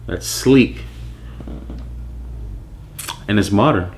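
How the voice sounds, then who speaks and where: A man born in Nigeria speaks with a very low voice, recalling how his clothing brand came about. He talks in a loose, reflective way, pausing on fillers and restarting his sentences as he thinks. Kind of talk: personal story monologue.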